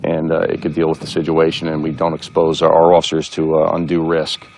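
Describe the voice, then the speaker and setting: A middle-aged American man, a police officer explaining things informally in a halting, matter-of-fact way. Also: very deep voice, completely flat delivery, vocal fry, noisy background.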